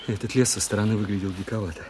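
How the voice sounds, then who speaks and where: A man playing a weary officer who narrates his wartime search in a radio play. His voice is deep, set against clearly audible ambient sound. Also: steady tone, very fast, a little mellow, a little hiss or hum, natural voice. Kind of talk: first-person dramatic narration, radio play.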